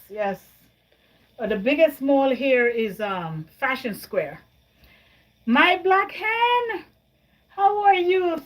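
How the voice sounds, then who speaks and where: A woman's voice: sits high, rough recording. A woman from Jamaica hosting a casual livestream, chatting with viewers, answering their comments and often repeating her words. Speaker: livestream host.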